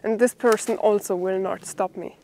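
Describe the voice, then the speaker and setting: A young woman from Germany with a German accent, a native German speaker, talking haltingly and unscripted as a bushcraft vlogger. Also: medium pitch, steady tone, dark tone, slight background noise. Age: young adult. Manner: halting, with pauses mid-sentence. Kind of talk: unscripted vlog talk to camera. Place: outdoors in a forest.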